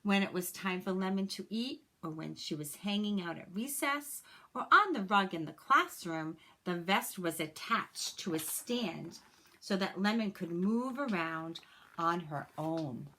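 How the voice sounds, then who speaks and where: Slow-paced woman reading a storybook. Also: on the low side, lively intonation, sharp tone. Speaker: storybook reader. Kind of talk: children's read-aloud with personal asides.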